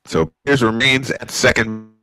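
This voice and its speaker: An older American man calling a baseball game in classic broadcast style, his voice bright and forward. He rattles off counts and pitches steadily and lifts sharply when a play gets close. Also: normal speed, rough recording. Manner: old-school broadcast style, rising excitement on big plays. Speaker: play-by-play announcer.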